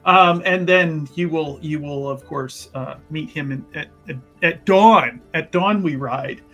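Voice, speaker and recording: A man's voice: fairly high pitch, a little slow, a bit bright. A man playing a tabletop roleplaying game, rambling jokily through his character's plans with mock-grand phrasing. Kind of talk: casual playful in-game banter and planning. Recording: music behind the voice. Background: background music.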